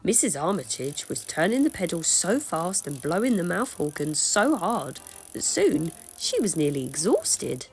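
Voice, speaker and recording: Female storybook narrator, expressive and unhurried, with swooping intonation and a slightly husky tone. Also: on the low side, soft background music. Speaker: children's book reader. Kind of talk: expressive storybook read-aloud.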